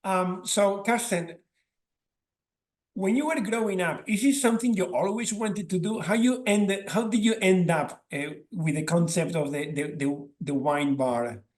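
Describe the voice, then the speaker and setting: A man with a notably high voice hosts the interview. He opens with a formal welcome and stumbles a little as he phrases his questions. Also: unhurried. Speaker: interview host. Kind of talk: interview hosting.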